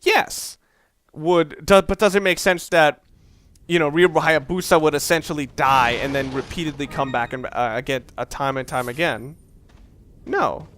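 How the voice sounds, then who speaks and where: A man's voice: a little high, normal speed, fairly bright tone, a little hiss or hum. A young man giving casual, rambling, opinionated commentary while playing a video game, with the odd curse word. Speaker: let's play commentator.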